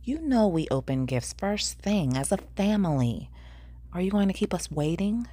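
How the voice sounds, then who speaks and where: Woman acting a chatty, nagging middle-aged mother, raised pitch. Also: very animated, moderate pace, mellow, slightly rough, no echo. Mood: insistent. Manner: blunt, insistent, motherly. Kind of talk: audiobook character voice.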